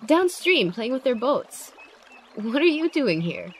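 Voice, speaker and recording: A woman's voice: high voice, sing-song, takes it slowly, slight background noise, heavily acted. A woman playing a level-headed, studious young female character in an amateur radio drama. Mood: casual.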